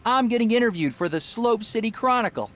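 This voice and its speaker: A man's voice: extremely high pitch, animated, brisk, fairly bright tone, faint music behind. An actor playing an earnest, slightly nervous young male inventor in an adventure game, boyish and often musing aloud. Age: young adult.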